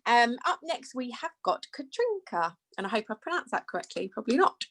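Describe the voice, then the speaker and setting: A woman's voice: a little high, big pitch swings, a little fast, a bit bright. A woman hosts an online business presentation in an upbeat, friendly way. She thanks speakers and moves things along with easy "um" fillers. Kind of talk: hosting a business call.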